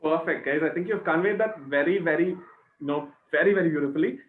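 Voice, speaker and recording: A man's voice: on the high side, quick pace. A man in his twenties with an Indian accent, hosting a casual discussion and often tagging his points with questions. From Chennai, India. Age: 20s. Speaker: student panel host. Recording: echoey, thin phone-like video-call sound.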